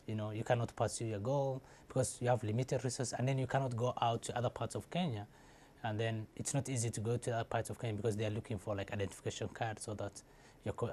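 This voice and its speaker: A man's voice: mid-range voice, a little mellow, slight background noise. A young man from Somalia telling his life story in a hesitant, unscripted way, with many fillers and restarts. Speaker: interviewee. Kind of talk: casual personal account.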